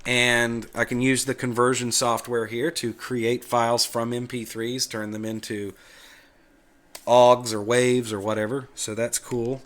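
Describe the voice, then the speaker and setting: Male tutorial host, medium pitch, folksy and plain-spoken, casual unscripted explaining. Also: flat delivery, measured pace, fairly bright tone. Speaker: host of a Linux tutorial video. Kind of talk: casual screencast talk, explaining off the cuff.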